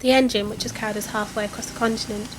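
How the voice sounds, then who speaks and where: A woman reading reflective travel prose aloud in a measured way, with soft music behind. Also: fairly high pitch, fairly even intonation. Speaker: narrator.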